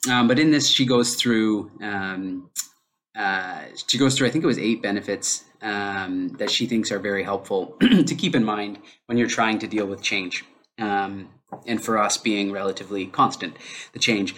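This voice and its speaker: A man's voice: lowish voice, normal speed, a bit bright, slight background noise. A man hosting a solo podcast, talking it through unscripted, with lots of filler sounds and restarts. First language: English. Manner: unscripted, heavy use of fillers like um; thinking aloud, restarts mid-sentence. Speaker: podcast host.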